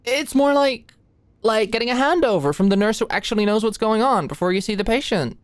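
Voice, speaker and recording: A woman's voice: fairly high pitch, brisk, close to an everyday voice. A woman playing an anxious, flustered young medical student in an intimate ASMR roleplay, with a hesitant, stammering, playfully defensive way of talking. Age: young adult. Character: anxious, flustered, endearing short female medical student study buddy.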